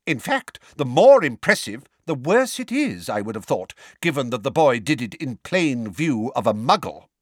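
A man's voice: a little high, big pitch swings, moderate pace, a bit bright, no echo, clean studio sound, voice pushed up. A man voicing a pompous, testy middle-aged government minister in an officious, declamatory manner for a storybook.

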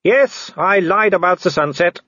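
Gleeful, high-pitched werewolf villain. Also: male voice, bright, forceful tone, no echo, muffled recording. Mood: gleeful taunting. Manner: mocking, halting non-native phrasing. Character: cheerfully cruel foreign male werewolf villain, taunting.

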